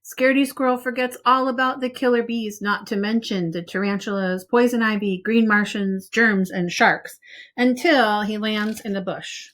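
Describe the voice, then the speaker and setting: Woman, medium pitch, children's story read-aloud, expressive, teacherly, direct address to young listeners. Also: moderate pace. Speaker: preschool teacher reading a picture book.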